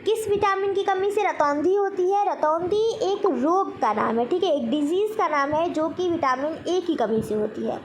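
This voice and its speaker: A woman's voice: very high-pitched, animated, normal speed, hardly any pauses, a little hiss or hum. A woman with an Indian accent teaching a quiz-style lesson, posing questions and answering them in an instructional way. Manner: instructional, asks each question then answers it, repeats key points. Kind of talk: general knowledge quiz lesson for exam students.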